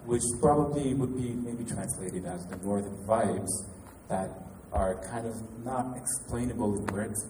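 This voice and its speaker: A pianist and composer from Quebec with a Québécois French accent, introducing his show in a halting, casual way with restarts. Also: lowish voice. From Quebec, Canada. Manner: halting, with restarts and light laughs. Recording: echoey hall from far off, noisy.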